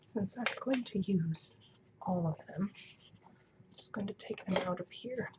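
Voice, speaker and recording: Female craft vlogger, mid-pitched and a bit raspy, chatty and thinking aloud, on a dull, noisy recording. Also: slow. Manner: thinking aloud while working. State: slightly hoarse, raspy voice. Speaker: planner vlogger. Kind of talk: casual chatty craft narration. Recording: muffled sound with clear background noise.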